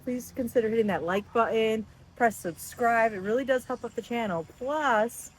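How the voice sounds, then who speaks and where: A woman's voice: on the high side, normal speed, a little hiss or hum. A woman chatting casually and informally to viewers as the host of a travel vlog, upbeat and chatty. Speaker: travel vlogger, channel host.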